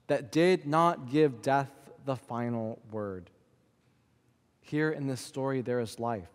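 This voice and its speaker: A man in his thirties preaching a sermon from a church pulpit, with a measured, rhythmic delivery built on lists and repetition. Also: mid-range voice, a little mellow. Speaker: priest. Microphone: pulpit microphone. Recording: long church reverb.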